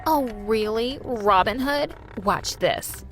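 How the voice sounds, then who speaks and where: A woman's voice: fairly high pitch, expressive, takes it slowly, faint music behind. A woman voicing a cruel, condescending teenage girl who rules the school. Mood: mocking. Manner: mocking, condescending.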